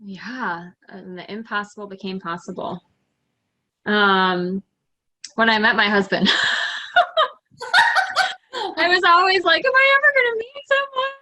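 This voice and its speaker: Middle-aged woman, chatty interview guest, lots of "like", bright voice. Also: medium pitch, big pitch swings, measured pace, long pauses, slight background noise. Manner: chatty, frequent "like" fillers, laughs easily. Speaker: interview guest, software company employee. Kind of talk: casual conversation.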